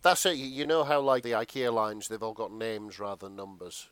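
A man's voice: on the low side, fairly even intonation, a little fast, a bit bright, clean tone, no room sound. A British man co-hosting in casual, teasing banter, full of jokes. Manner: joking, teasing. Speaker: podcast co-host.

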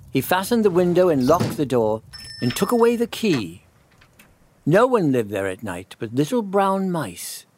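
A man narrating a classic children's story in measured phrases with dramatic pauses. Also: sits high.

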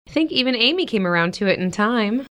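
A woman acting a patient, sensible mother who comforts with gentle firmness in a modern audio drama. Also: on the high side, expressive, quick pace. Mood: gently soothing. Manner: soothing, reassuring, gently firm.

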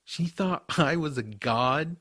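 A man's voice: fairly high pitch, animated, takes it slowly, a bit bright, dry recording, full character voice. Man playing a casual boy hero, dry recording. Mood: narrating. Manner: casual, boyish phrasing. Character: excitable, casual boy hero narrating his fantasy adventure. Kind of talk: first-person audiobook narration and drama.

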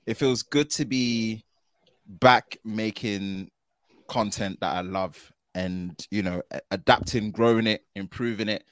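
A man with a fairly low voice talks about his work as a tech creator, animated and slangy, often tacking "man" onto his phrases. The recording is dry, with almost no room sound. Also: unhurried, dry recording. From United Kingdom. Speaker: podcast guest, tech video creator. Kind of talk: casual podcast conversation.

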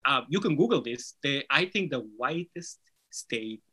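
A man's voice: medium pitch, expressive, a little slow, pushed and bright, husky. Earnest man. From Somalia. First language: Somali. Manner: earnest, persuasive, rhetorical "right?" tags. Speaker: author and interview guest. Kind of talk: conversational interview answer.

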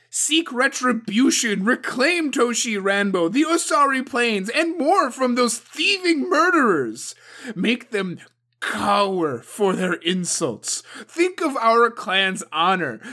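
A man voicing a fierce, grieving female samurai who spits her words harshly and yells. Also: very high voice, pitched above the performer's own voice, full character voice. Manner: harsh, spitting, yelling. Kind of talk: audiobook character voice.

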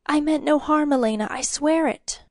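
A woman's voice: high-pitched, moderate pace, no room sound, studio quality, pitched above the performer's own voice. A woman voicing an earnest, anxious young knight in a storybook tale, pleading and urgent. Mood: hushed, anxious.

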